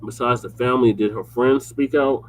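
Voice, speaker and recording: A man hosting a casual live show who prompts guests with short, relaxed questions. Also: mid-range voice, normal speed, slight background noise. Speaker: host.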